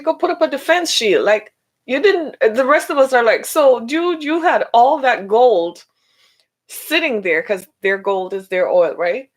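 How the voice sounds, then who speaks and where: A middle-aged woman hosting a solo podcast, who is losing her voice and talks chattily, often asking listeners "right?", going up in pitch when she mocks someone. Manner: animated, rising pitch when mocking. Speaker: podcast host.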